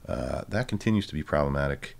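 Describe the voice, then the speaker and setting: A man giving a casual, halting tech demo of a hobby project, dryly informal and self-deprecating, with a gravelly voice. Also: extremely low pitch, lively intonation. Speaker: presenter of a hobby electronics project.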